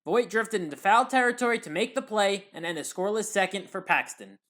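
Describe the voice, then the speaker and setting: A woman with an American accent delivering scripted play-by-play recap commentary as a sports highlights narrator. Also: lively intonation, fairly quick, fairly bright tone.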